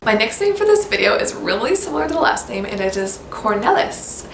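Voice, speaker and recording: A woman's voice: fairly high pitch, sing-song, fairly quick, creaky. A woman with an American accent, talking casually to camera about baby names, upbeat and gushing, sometimes spelling words out letter by letter. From United States. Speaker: baby-name vlogger. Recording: echoey room with background noise.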